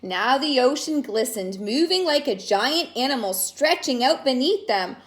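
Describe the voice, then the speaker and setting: A woman teacher reading a picture book aloud in an expressive, sing-song way, stretching words and adding asides to young listeners. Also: sits high, measured pace. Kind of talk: animated children's story read-aloud with asides to listeners.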